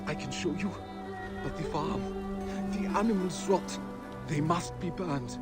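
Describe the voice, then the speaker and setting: Man playing a fervent cult leader, fairly high voice, solemn, over loud music. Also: unhurried, lightly acted. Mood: pleading. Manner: chanting hymns, solemn and fervent. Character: devout male sun-cult leader, fervent. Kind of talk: video game voice acting. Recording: game audio with loud score.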